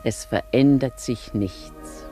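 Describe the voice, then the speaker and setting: A woman's voice: a bass voice, takes it slowly, warm tone, soft background music. An actress playing a genteel upper-class married lady in a German radio drama, composed and formal in manner, holding on to an elegant past. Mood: wistful, dreamy.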